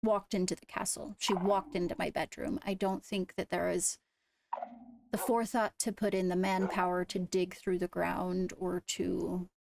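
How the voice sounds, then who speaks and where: A woman speaking in a measured, deliberate way with formal phrasing during an improvised roleplay discussion. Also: fairly low pitch, level tone, vocal fry, background noise. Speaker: roleplay streamer playing a kingdom member.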